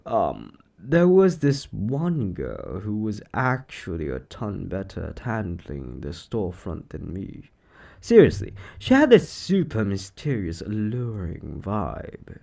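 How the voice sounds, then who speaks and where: Upbeat, quirky male shopkeeper boss played by a man for audio roleplay; casual and chatty, very animated delivery, unhurried pace. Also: fairly low pitch, vocal fry, full character voice. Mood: chatty, wistful. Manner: animated, chatty, hesitant asides.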